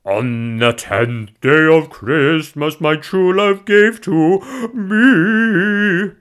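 A man's voice: high-pitched, very animated, a little slow, bright, forceful tone, voice pushed up, heavily acted. A boisterous husband played by a man reading a comic story, boyish and theatrical. He shows off in a raised, exclamatory voice that swoops about. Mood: grandly singing. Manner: theatrical, exclamatory, sing-song.

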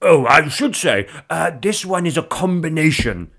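A man's voice: a little high, very animated, a little slow, fairly bright tone, voice pushed up, full character voice. A boisterous husband played by a man reading a comic story, boyish and theatrical. He shows off in a raised, exclamatory voice that swoops about. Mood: blustering. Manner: theatrical, exclamatory, sing-song.

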